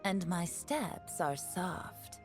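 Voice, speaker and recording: A woman's voice: fairly low pitch, very animated, extremely slow, soft background music, heavily acted. An actress playing a sly, graceful fox spirit woman, coolly confident, in a video game. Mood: poised, wistful.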